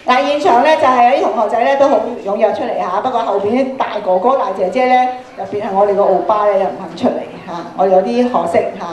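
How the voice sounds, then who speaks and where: A woman's voice: a little high, fast talker, few pauses, a little mellow. A middle-aged woman with a Hong Kong Cantonese accent, speaking as an encouraging workshop leader to an audience through a handheld microphone on a stage. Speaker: workshop speaker, radio programme producer. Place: school auditorium stage. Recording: echoey hall through PA microphone.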